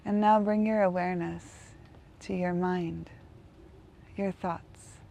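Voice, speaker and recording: A woman leading a guided meditation in a gentle, unhurried manner, leaving long pauses between phrases. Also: lowish voice, fairly even intonation, gravelly.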